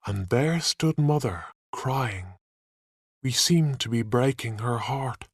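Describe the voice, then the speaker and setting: A man reading a story aloud in an expressive, deliberate narrating style. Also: medium pitch, dry recording, clean studio sound. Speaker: audiobook narrator.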